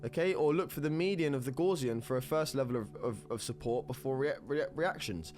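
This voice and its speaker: A man's voice: mid-range voice, brisk, a little mellow, faint music behind. Stuffy-nosed man analysing charts. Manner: rambling, repeats words, upbeat. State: congested with a cold. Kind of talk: screen-recorded technical analysis talk.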